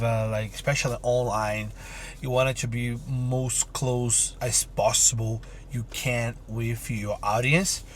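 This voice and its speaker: A man's voice: mid-range voice, a little hiss or hum. A man, chatting casually to a phone camera as an entrepreneur vlogger, rambling and upbeat with lots of fillers and restarts. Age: 30s. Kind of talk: casual vlog talk to camera.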